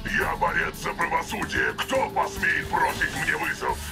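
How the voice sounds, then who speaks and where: A stern robot champion of justice in a cartoon. The voice is extremely creaky and a little high, declaiming solemnly with loud music underneath. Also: male voice, steady tone, a little slow. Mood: heroic challenge. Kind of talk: animated cartoon dub acting.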